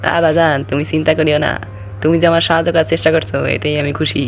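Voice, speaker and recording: A man's voice: on the high side, little pitch movement, fast, gravelly, soft background music. A man voicing a kindly, grateful old village grandmother in a homely, advising manner for a cartoon. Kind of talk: comic cartoon dub.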